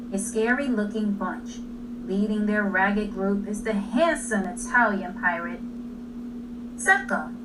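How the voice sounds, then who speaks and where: Slow-paced woman reading a storybook, distant mic. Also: mid-range voice. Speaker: storybook reader. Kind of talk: expressive children's read-aloud narration. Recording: distant mic with clear background noise.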